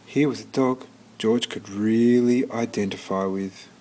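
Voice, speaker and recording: A man reading a children's picture book aloud as scripted narration, expressively and with deliberate pauses. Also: lowish voice, fairly even intonation, darkish tone. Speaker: storybook reader.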